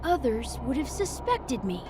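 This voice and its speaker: Woman as a high-pitched, innocent little boy. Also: very animated, unhurried, noisy background. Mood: innocent, earnest. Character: sly, outwardly innocent little boy, dutiful son. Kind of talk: video game voice acting.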